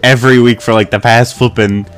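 A young man with a stuffy, congested voice, co-hosting a casual podcast. He tells rambling, self-mocking stories at a middle pitch, with faint music underneath. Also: steady tone, a little fast, clear, resonant tone. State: stuffed up with a cold. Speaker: podcast co-host.

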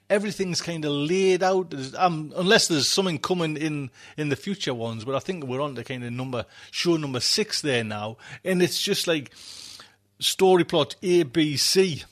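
A man's voice: on the high side, unhurried. A man hosting a podcast, chatting casually and off the cuff in a rambling, repetitive way. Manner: rambling, repeats himself. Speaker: podcast host. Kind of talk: casual unscripted chat.

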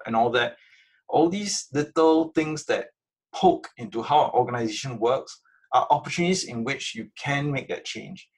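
A man with a raspy, creaky voice answering interview questions in an informal, conversational way, often checking in with the listener. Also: medium pitch, poor audio. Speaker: interview guest, museum innovation director.

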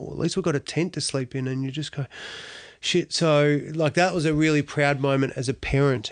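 A middle-aged Australian man speaks as a podcast guest, his voice close and dry with no room sound at all. He talks earnestly, stumbling and restarting often and dropping in the odd mild swear word. His pitch sits in the ordinary middle range. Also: no room sound. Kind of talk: candid interview storytelling.

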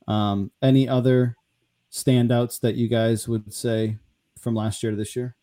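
Man, podcast host, mellow warm voice, relaxed, filler words, middle pitch. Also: measured pace. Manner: relaxed, filler-heavy, steers the talk. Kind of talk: casual sports chat.

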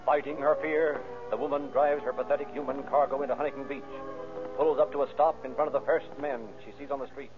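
A man narrating a vintage radio crime drama in an ominous, measured, florid manner. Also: medium pitch, level tone. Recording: tinny narrow-band old radio recording. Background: loud dramatic music.

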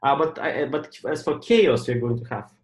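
A man whose first language is Russian, co-hosting a casual podcast, excitable and often stammering and repeating words. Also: medium pitch, moderate pace. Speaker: podcast co-host.

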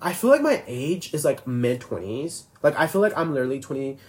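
A man's voice: fairly high pitch, very animated, brisk. A twenty-year-old man hosting a casual solo podcast, rambling and filler-heavy, constantly restarting his sentences. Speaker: podcast host.

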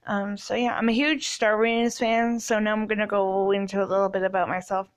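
A young woman from Canada talks loosely through a solo podcast intro, filling pauses with ums and likes. Her voice sits at an ordinary middle pitch for a woman. She keeps it casual and unscripted. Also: fairly even intonation. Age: 20s. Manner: rambling, many ums and likes. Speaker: podcast host.

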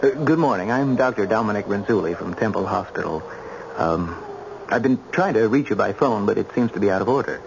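Radio drama: earnest, wry doctor, gravelly mid-range voice, informal, dull vintage sound. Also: male voice, fairly low pitch, expressive, a little fast, noisy background. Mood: hesitant, urgent. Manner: hesitant, plain-spoken, wry. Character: earnest young hospital surgeon, informal and persuasive. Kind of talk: old-time radio drama acting. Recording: muffled old radio recording.

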